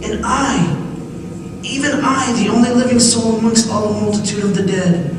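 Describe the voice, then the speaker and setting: A man's voice: high-pitched, fairly even intonation, normal speed, close to an everyday voice. An actor playing an anguished condemned man who narrates a night among ghosts in florid, theatrical radio drama style. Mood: awed. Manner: impassioned, theatrical, florid declaiming. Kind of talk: old-time radio theatre acting. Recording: very echoey, distant, noisy radio play.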